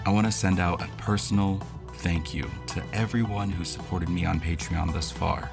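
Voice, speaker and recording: Male podcast host, lowish voice, addressing listeners directly in a prepared announcement, music running clearly underneath. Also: steady tone, moderate pace. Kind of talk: scripted thank-you announcement to listeners.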